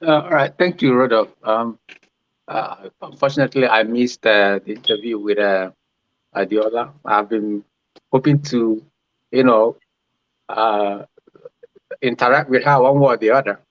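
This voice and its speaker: A man who is a priest, speaking on a panel in a halting way with many fillers. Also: medium pitch, no echo, highs cut off. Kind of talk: panel discussion remarks.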